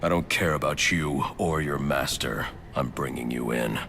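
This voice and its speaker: An actor playing a grim, brooding vigilante detective in a video game, speaking tersely and sternly with a gravelly voice. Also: male voice, a bass voice, normal speed, sharp tone, a little hiss or hum, full character voice. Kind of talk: dramatic video game acting.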